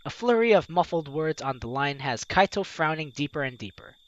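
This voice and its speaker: A man's voice: fairly high pitch, animated, brisk, muffled recording. A man hosting a game playthrough, reading narration and dialogue aloud expressively, with casual asides. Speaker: host of a video game playthrough.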